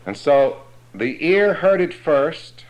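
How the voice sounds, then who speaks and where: A man teaching a Bible class in a sermon style, explaining slowly and checking that his listeners understand. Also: fairly high pitch, sing-song, low-quality recording.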